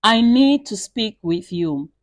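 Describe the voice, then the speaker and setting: A woman voicing an earnest, concerned male church elder in a story, with a measured, careful, urging manner. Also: mid-range voice, lively intonation, dry recording.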